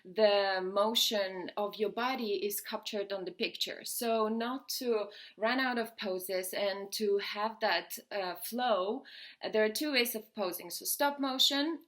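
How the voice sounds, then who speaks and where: A woman, speaking at a middling pitch as she teaches posing on a phone livestream. Her delivery is chatty and instructive, full of fillers and small nervous laughs, recorded indoors on a phone. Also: slow, fairly bright tone. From Lithuania. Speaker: model and modeling coach. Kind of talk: live-stream posing tutorial. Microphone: phone camera.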